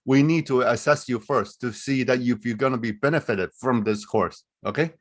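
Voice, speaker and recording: A man's voice: mid-range voice, normal speed. A man presenting a live online webinar as a recruiter, explaining things in a loose, conversational way over a video call.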